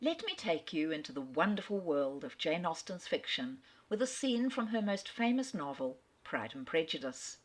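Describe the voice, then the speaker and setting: A woman giving an enthusiastic, expressive talk on a classic author, narrating like a storyteller. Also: on the low side, moderate pace, hardly any pauses, low-quality recording. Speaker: literary speaker. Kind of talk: lecture narration.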